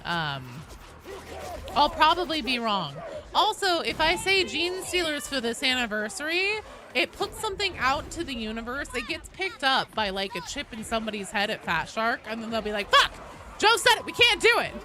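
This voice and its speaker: A woman's voice: sits high, very animated, moderate pace, noisy background. A woman live streaming a video game, chatting casually and answering viewers in a joking, sweary way. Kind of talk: casual gaming chat, reading and answering viewer comments.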